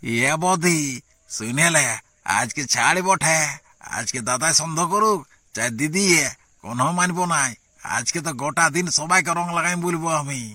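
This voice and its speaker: A man's voice: fairly high pitch, normal speed, sharp tone, no echo, studio quality. A man voicing a cheeky, brazen young village prankster in a comic cartoon, with a rural Purulia Bengali accent. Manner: teasing, brazen. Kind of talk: amateur comic cartoon dialogue.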